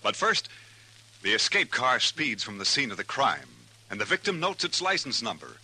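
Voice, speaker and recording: A man's voice: mid-range voice, sing-song, normal speed, pushed and bright, slightly rough, rough recording. A man with an American accent reading scripted announcements in 1950s radio style.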